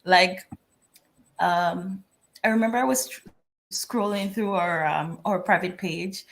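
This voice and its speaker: A woman's voice: fairly low pitch, takes it slowly, gravelly. A woman from Jamaica, a guest on a video call interview, chatty and laughing often.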